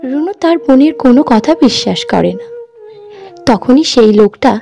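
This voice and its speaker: A woman's voice: sits high, lively intonation, normal speed, gravelly, warm tone, dull audio, soft background music. A woman narrating a scripted fairy-tale cartoon as its storyteller.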